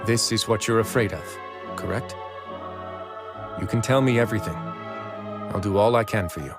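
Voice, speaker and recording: Casting: male actor for a commanding duke in a video game, low register, measured and reassuring, music underneath. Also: natural voice. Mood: calm, probing. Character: calm, authoritative male duke, reassuring protector. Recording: music playing behind the voice.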